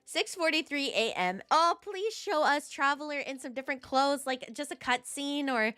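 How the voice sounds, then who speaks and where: High-voiced, excitable woman game streamer. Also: moderate pace, no room sound, faint music behind. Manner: excitable, playful, giggly. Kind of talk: casual live playthrough commentary.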